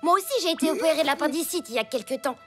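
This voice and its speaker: A fussy, excitable little boy from a cartoon. The voice is extremely high, whiny and emphatic. Loud music plays behind it. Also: rapid-fire. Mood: curious.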